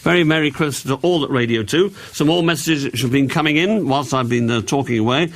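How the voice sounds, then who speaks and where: A man's voice: mid-range voice, brisk. Chatty male radio host. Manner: jokey asides and rhetorical questions. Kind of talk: chatty radio presenting, reading listeners' messages.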